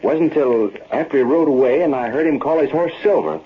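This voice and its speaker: An actor playing a trusting, plain-spoken miner husband with folksy Western speech in an old radio drama. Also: male voice, medium pitch, animated, fairly quick, close to an everyday voice. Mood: earnest. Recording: dull, hissy old radio recording.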